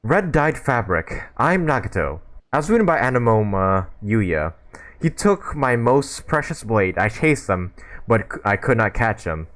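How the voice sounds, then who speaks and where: A man's voice: mid-range voice, big pitch swings, measured pace, a bit bright. A man from Canada hosting a gaming video, giving casual commentary and reading dialogue aloud. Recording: faint game music behind. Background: game music.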